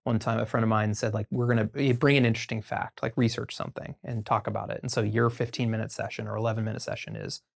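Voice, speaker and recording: An American man chatting casually as a podcast guest, telling stories informally and haltingly as he thinks aloud. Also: lowish voice, level tone, vocal fry. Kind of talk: casual conversational storytelling.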